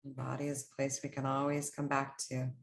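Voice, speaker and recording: A woman leading a guided meditation, speaking in short, soothing, instructional phrases with unhurried pauses. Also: very deep voice, monotone, slight background noise.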